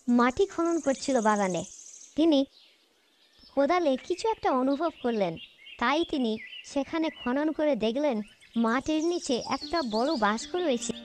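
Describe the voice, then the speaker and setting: A woman narrating a cartoon folktale in a steady scripted storytelling manner. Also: high voice.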